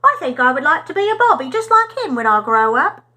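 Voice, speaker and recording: A woman's voice: high-pitched, sing-song, fairly quick, pushed and bright, voice pushed up, full character voice. A woman voicing a polite, earnest young English schoolboy with an English accent in a children's storybook. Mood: earnest, curious.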